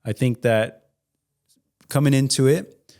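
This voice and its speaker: A young man in his twenties answers interview questions as an accountant. His voice sits at an ordinary middle pitch, and he explains things in an earnest, measured way. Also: a little mellow. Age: 20s. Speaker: certified public accountant, guest.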